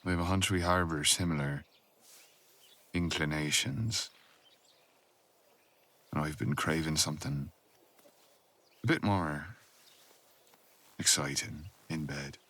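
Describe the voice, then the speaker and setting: A man's voice: very deep voice, takes it slowly, long pauses, pushed and bright, voice pushed down, heavily acted. Man acting a haughty, drawling, flirtatious cousin. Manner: drawling, arch, insinuating. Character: flirtatious, haughty, teasing upper-class cousin. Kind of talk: fantasy audio roleplay acting.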